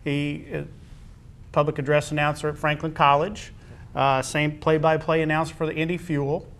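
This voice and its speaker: A man speaking as a high school athletic director, hosting a conversational interview in a halting, wordy way. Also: flat delivery, a bit bright.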